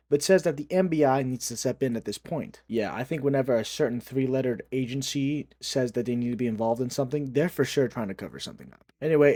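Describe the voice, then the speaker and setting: A man's voice: medium pitch, dark tone, dry recording, studio quality. A man hosting a video, reading scripted recap commentary. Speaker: video host.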